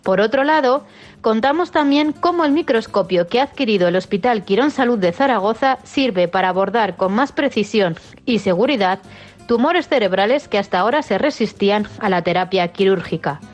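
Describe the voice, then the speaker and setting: A woman reading a scripted summary of a radio show's contents in an even, read-out manner. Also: medium pitch, moderate pace, soft background music. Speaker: show narrator. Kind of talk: scripted narration.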